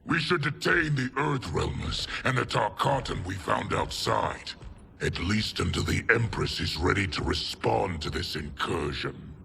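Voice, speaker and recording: A very gravelly male warlord, stern and formal in a fantasy game cutscene. He speaks slowly and commandingly, with big swings of emphasis, hungry for war. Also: fairly low pitch, lively intonation, pushed and bright, slight background noise, exaggerated voice. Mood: stern, urgent. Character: gruff, warmongering male military leader of fantasy realm. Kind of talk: dramatic video game cutscene acting.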